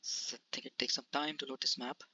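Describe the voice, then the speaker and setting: A man's voice: mid-range voice, flat delivery, brisk, bright, forceful tone, breathy edge. A man from India hosting his gaming channel, talking through a farming game casually with many hesitations and restarts. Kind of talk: casual gameplay commentary.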